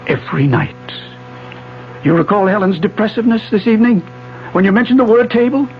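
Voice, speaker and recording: An actor playing an obsessed elderly physics professor in a 1970s radio drama, formal, insistent and fervent, with a declamatory delivery. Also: male voice, high-pitched, fairly quick. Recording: dull 1970s radio broadcast, faint music.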